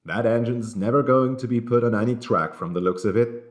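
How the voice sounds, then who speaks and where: A man's voice: medium pitch, brisk, echoey room, natural voice. A man voicing an impassive, accusing train conductor in an audiobook, terse and pointed. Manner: flat, terse, pointedly emphatic.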